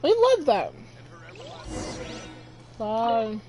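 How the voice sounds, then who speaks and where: A woman's voice: a little high, animated, extremely slow, mellow, faint music behind. A teenage girl doing casual, playful game commentary, rambling and repeating words for fun. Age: teens. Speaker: gamer playing and commenting. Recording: clear background noise behind voice.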